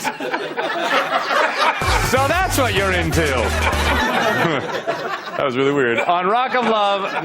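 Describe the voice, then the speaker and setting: Snarky high-voiced male TV host. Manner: deadpan snarky jokes, laughing audience reacting. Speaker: comedy clip show host. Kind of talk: sarcastic scripted commentary on TV clips. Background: studio audience laughter.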